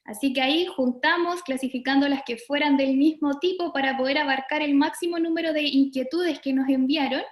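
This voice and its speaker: A woman's voice: high voice, fairly even intonation, a little fast, hardly any pauses, roomy sound. A young adult woman with a Chilean Spanish accent, a doctor co-hosting an online forum and leading a prayer in an earnest way. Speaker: co-host, doctor.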